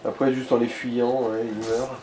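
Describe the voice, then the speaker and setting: A man's voice: medium pitch, little pitch movement, normal speed, mellow, a little hiss or hum, rough recording. A man casually co-commenting on a video game, giving advice in blunt slang. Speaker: co-commentator advising the player. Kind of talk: casual gaming chat.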